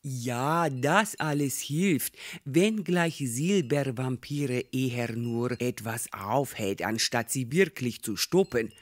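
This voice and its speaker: Domineering old woman, haughty fortune-teller, deliberate slow delivery, deep for a woman, audio comedy. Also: no room sound. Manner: imperious, deliberate, lecturing. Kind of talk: German comedy audio drama acting.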